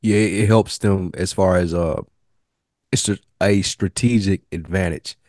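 A man co-hosting a podcast, calmly explaining things in a casual chat about a TV show. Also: sits low, unhurried, no echo, clean studio sound. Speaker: podcast co-host.

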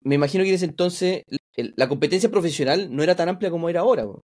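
A man's voice: a little high, very fast, darkish tone. A man, hosting a podcast and asking chatty questions. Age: 40s. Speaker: podcast host. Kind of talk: casual interview talk.

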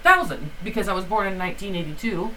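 A woman's voice: medium pitch, expressive, quick pace, sharp tone. A woman in her forties from the United States talks in a loose, rambling way and drops swear words without a thought. She sits a little back from the mic. A faint hiss of noise runs under her voice. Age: 40s. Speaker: podcast co-host, mother. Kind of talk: casual chat. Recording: slightly distant mic, faint background noise.

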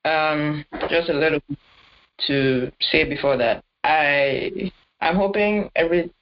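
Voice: medium pitch, slow. Chatty co-host, dull lo-fi audio. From not Nigerian. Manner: chatty, hesitant, self-correcting. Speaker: podcast co-host. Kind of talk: casual sports chat.

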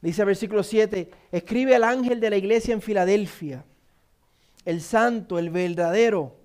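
Puerto rican preacher guy, pretty high voice, punchy, repeats his lines for emphasis. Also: normal speed. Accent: Puerto Rican Spanish. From Puerto Rico. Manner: emphatic preaching, repeats key phrases, drops low and soft in prayer. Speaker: pastor. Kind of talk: sermon with scripture reading and prayer.

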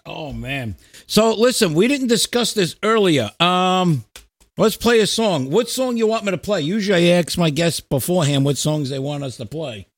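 A man's voice: high voice, lively intonation, moderate pace, no echo. A middle-aged man hosting a podcast, chatting casually and bluntly about music with plenty of swearing. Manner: blunt, salty, profane. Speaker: podcast host. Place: remote Skype call, audio show.

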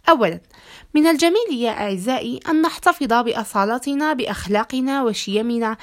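A woman hosting a podcast, addressing listeners in formal Arabic with a measured, rhetorical delivery and a slightly hoarse voice. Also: high-pitched. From Algeria. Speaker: podcast host.

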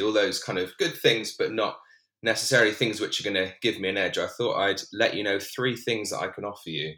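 A man with a very low voice and a bright, forceful edge talks as a guest on a podcast. He pokes fun at himself and laughs as he talks, and his voice rings out in a roomy, echoing space. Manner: self-deprecating, laughs while talking. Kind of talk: casual chat. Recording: roomy echo.

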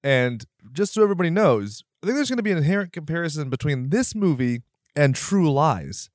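A man hosting a horror movie podcast, casual and jokey, full of quick playful asides. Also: a little high, sing-song, no echo, studio quality. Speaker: podcast host.

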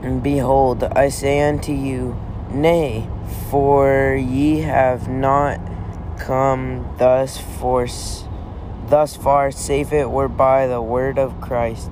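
A man reading scripture aloud in a measured way, with an occasional stumble. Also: mid-range voice, flat delivery. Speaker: reader in a family scripture reading. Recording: clear vehicle and road noise.

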